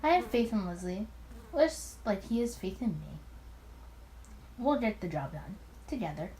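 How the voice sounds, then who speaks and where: A woman's voice: medium pitch, animated, unhurried, warm tone, rough recording. A woman reading a visual novel aloud with commentary, expressively and with stumbles and rereads, over some background noise. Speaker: let's player.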